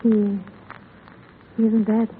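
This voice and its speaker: A woman's voice: medium pitch, flat delivery, takes it slowly, lightly acted. Lovelorn radio heroine played by a woman: terse, restrained, tinny and noisy vintage sound. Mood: urgent. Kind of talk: 1930s radio drama acting.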